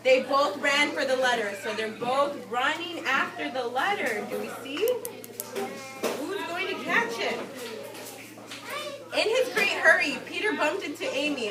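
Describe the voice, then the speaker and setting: High-pitched woman reading to kids, echoey noisy room. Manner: expressive, explanatory, addressing small children. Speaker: teacher reading to young children. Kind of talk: storybook read-aloud with simple explanations. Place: indoor classroom with carpet. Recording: echoey room, distant mic, loud children's chatter. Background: loud chatter of young children.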